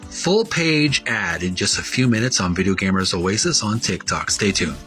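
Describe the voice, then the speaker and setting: Deliberate, wordy male host over music. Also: fairly low pitch, expressive, bright, forceful tone. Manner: halting, deliberate, wordy, repeats and rephrases himself. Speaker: host of a retro gaming video channel. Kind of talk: casual narrated show-and-tell, reading printed ad copy aloud.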